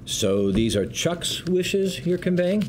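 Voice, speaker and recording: A man playing a smooth, condescending law firm partner at a script read, polished and measured. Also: high-pitched, sing-song, darkish tone, a little hiss or hum, full character voice. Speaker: actor at a script table read. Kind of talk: acted script read at a table.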